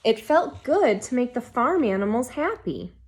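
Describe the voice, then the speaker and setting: High-voiced woman reading a children's storybook. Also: very animated, a little mellow. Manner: pauses to ask young listeners questions. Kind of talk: expressive read-aloud of a children's picture book.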